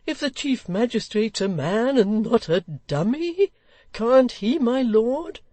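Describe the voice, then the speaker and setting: Woman putting on a slow, high, choleric old gentleman for a storybook; dry close read. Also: very animated, no echo. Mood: indignant. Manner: pleading, indignant outbursts.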